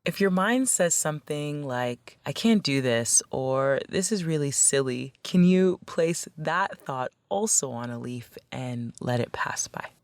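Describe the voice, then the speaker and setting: A woman leading a guided meditation as a facilitator, speaking in a gentle, measured, instructional way. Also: deep voice, expressive, no echo.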